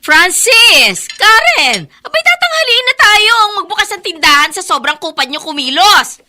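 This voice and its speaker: A woman's voice: extremely high pitch, big pitch swings, normal speed, heavy background noise. An actress playing an anguished, fiercely protective mother in a melodramatic radio drama, with emotional delivery. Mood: impatient scolding. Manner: emotional, pleading and scolding. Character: anguished, fiercely protective mother who runs a small eatery.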